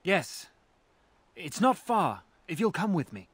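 An actor playing a frightened, humble young village archer in a fantasy game, anxious and deferential. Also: male voice, high-pitched, sing-song, slow pace, exaggerated voice. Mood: anxious, apologetic. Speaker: game character. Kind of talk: dramatic fantasy game acting.

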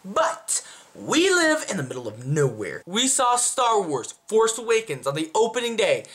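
A young man talks casually to camera in a small room at home. His delivery is animated, with pitch that swings up and down. He rambles and often breaks off to correct himself, like a chatty video reviewer. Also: normal speed. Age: young adult. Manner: animated, rambling, self-interrupting. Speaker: movie reviewer.

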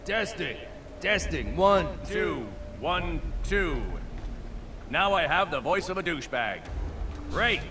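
An actor playing a deadpan, sarcastic grumbling man in a video game. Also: high-pitched, slow pace, pauses often. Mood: sardonic, flat. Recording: distant voice amid game noise.

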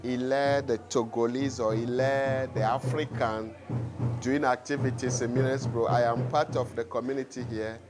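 A man in his forties from Togo, interviewed in a community hall, speaking earnestly with halting restarts. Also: medium pitch, steady tone, over music. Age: 40s. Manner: earnest, halting, repeats words. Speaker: community drum group leader.

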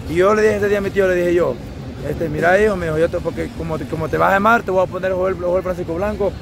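A man's voice: high-pitched, steady tone, fast talker, background noise. A man in his thirties from Guatemala, answering questions in a street interview, rambling and restarting his sentences, in an outdoor city plaza. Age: 30s. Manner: rambling, repeats himself, restarts often.

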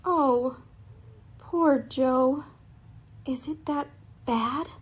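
Sweet young sister, woman actor: high, lively, slow-spoken, concerned, radio-play delivery. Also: husky, exaggerated voice. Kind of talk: radio drama acting.

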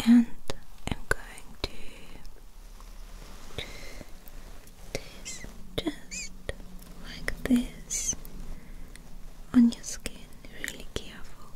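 A young woman performs an ASMR medical roleplay as a gentle doctor. Her voice is a fairly high, mellow murmur that keeps dropping into whispers. She speaks extremely slowly, in short, hushed, reassuring phrases. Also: low-quality recording. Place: small dim indoor room.

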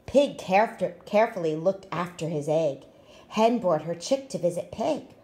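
A woman reading a children's picture book aloud in an expressive, deliberate way. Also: fairly low pitch. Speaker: storytime reader, school staff.

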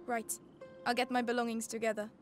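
Higher-pitched, streetwise young female thief, acted by a woman. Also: little pitch movement, fairly quick, close to an everyday voice. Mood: businesslike. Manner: direct, confident. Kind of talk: video game acting. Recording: music behind the voice.

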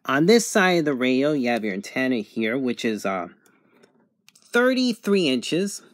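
A man with a mid-pitched voice talks through a product demonstration in a casual, plain way. He takes his time, explaining step by step. The sound is close and dry, with little room echo. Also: sing-song, a little slow. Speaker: product reviewer.

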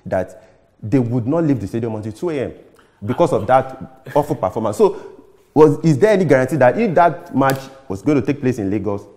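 A young Nigerian man pressing his guest with pointed questions on a sports talk show. He speaks at a middle pitch into a lapel mic in a small studio. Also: expressive, brisk, darkish tone. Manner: probing, challenging questions. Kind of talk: studio football discussion. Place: small TV studio with glass-block wall.

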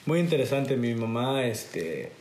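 A man's voice: mid-range voice, big pitch swings, unhurried, a little mellow, roomy sound, slight background noise. A man in his twenties, born in the US, telling stories from childhood in a conversational way full of fillers. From United States. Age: 20s. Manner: conversational, many "este" fillers. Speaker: guest. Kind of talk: casual storytelling. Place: garage set with couch, indoors.

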